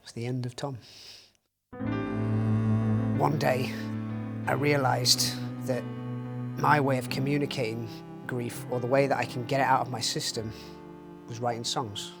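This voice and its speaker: A young man, talking in a soft, reflective, grieving way at a middling pitch. Guitar music plays clearly behind him as he tells his story in an interview. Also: little pitch movement, a little slow, pauses often. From Northwest England. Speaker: singer-songwriter. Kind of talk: documentary interview.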